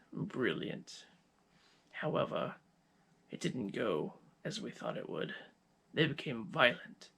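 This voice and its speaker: A man playing a cold, gloating scientist villain in an ASMR roleplay, speaking with deliberate, menacing pauses in a gravelly, husky voice. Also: medium pitch, fairly bright tone, heavily acted.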